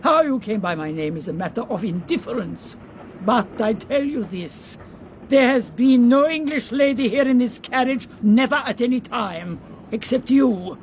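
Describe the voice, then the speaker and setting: An actress playing a cold, imperious baroness, formal and dismissive, in a radio drama. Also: female voice, a little high, lively intonation, moderate pace, a little mellow, close to an everyday voice. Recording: faint train noise behind.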